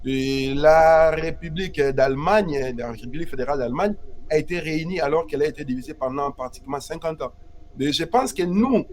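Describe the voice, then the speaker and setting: A man's voice: on the high side, a little mellow, a little hiss or hum. A man from the Democratic Republic of Congo, interviewed as an activist, talking emphatically and repeating his key phrases. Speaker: guest activist. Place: Paris.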